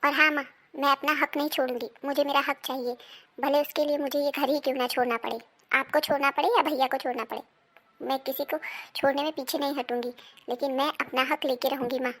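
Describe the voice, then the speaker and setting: A woman acts out a dramatic woman of a squabbling Indian household in an animated moral story. Her voice sits very high and has a gravelly creak. She speaks emphatically, as in a family melodrama. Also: brisk. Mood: indignant, demanding. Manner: emphatic, dramatic, scolding and pleading delivery. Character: women of a quarrelling Indian family: daughter, mother, daughter-in-law.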